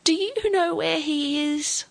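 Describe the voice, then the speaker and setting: A woman's voice: mid-range voice, animated, fairly bright tone. Woman voicing a frail, unsettled elderly grandfather. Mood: piteous, hopeful. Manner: halting, apologetic, plaintive.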